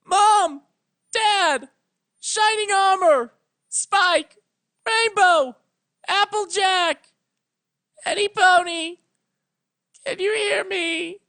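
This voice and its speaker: A young pony princess of a storybook fantasy, desperate with grief. The voice is high, strained and loud, pleading and crying out. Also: extremely slow, pauses often, full character voice. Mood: anguished shouting.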